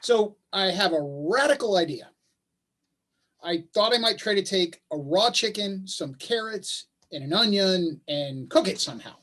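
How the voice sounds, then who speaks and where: A man acting a gentle, self-deprecating father who speaks tentatively, in a staged play reading on a video call. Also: sits high, lively intonation, a little slow, exaggerated voice. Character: mild, hesitant, self-deprecating father of a clever son.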